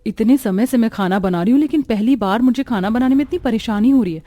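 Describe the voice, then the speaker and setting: A woman's voice: on the high side, fast, dark tone, soft background music, natural voice. A woman voicing an earnest, often complaining young daughter-in-law in a Hindi cartoon story, dutiful but overworked. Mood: exasperated.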